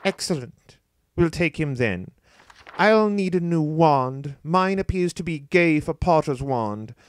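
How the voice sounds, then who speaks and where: A high, drawling evil wizard overlord played by a man for a comic audiobook. He is smug and imperious, with slow delivery that swoops around in pitch. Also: lively intonation, no echo. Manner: droning, imperious. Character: high-pitched noseless dark lord villain, drawling and smug.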